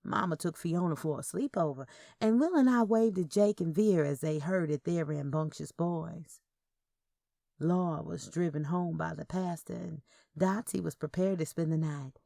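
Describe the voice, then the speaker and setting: A woman narrates a scripted first-person story. Her voice sits a little low and has a noticeable fry. The recording is dry, with no room sound. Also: moderate pace, mellow, no room sound. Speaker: audio fiction narrator.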